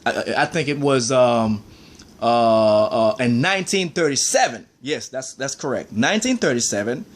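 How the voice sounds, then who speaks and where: A man's voice: medium pitch, animated, normal speed. A man ranting in a heated, preachy monologue, peppered with "man" and "I mean".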